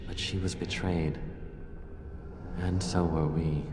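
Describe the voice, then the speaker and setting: An actor voicing the proud spirit of a betrayed freedom fighter, earnest and resolute, in a fantasy game. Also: male voice, sits low, flat delivery, slow pace. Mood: proud, regretful. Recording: noisy, echoing long hall.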